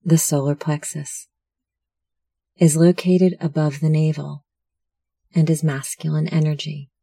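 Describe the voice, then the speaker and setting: A meditation instructor narrating a guided meditation in a measured, soothing, instructional manner. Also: mid-range voice, little pitch movement, creaky, dark tone, slightly rough, no echo.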